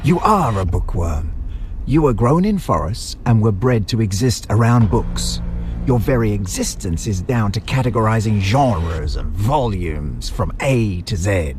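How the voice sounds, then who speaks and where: A man acts out a maniacal butcher, a gleeful audio drama villain with a low voice. He talks down to everyone in a theatrical, condescending way, with music clearly running under the words. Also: expressive, a little slow.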